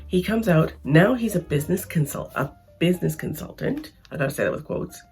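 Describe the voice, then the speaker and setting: A Canadian woman talks to her viewers in a chatty, emphatic vlog, her voice gravelly and raspy from losing it. She restarts her sentences often as she makes her point. Faint music plays behind her. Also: on the low side, lively intonation, a little fast, darkish tone. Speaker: vlogger. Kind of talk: casual opinion vlog.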